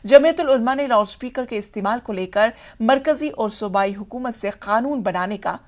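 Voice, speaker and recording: High-pitched woman reading formal news. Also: normal speed. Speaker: news presenter. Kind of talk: scripted news narration.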